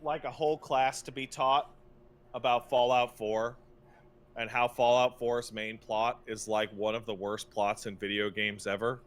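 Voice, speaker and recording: A man's voice: mid-range voice, fairly even intonation, a little slow. A man co-hosting a podcast, ranting casually about video games in an emphatic, exasperated, profane way. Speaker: podcast co-host. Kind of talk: casual animated rant about video game plots.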